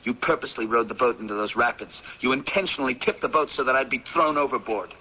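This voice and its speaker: An actor in an old-time radio drama playing an agitated, incredulous man of twenty-nine, excitable and protesting. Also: medium pitch, quick pace, breathy edge, close to an everyday voice. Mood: indignant, protesting. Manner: excitable, protesting, stammering when unsure. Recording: muffled, noisy vintage radio broadcast.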